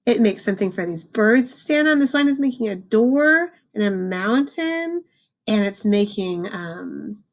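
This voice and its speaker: A woman reading a picture book aloud to children, adding informal explanations and slowing down for the book's lines. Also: mid-range voice, animated. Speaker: read-aloud host, teacher for children.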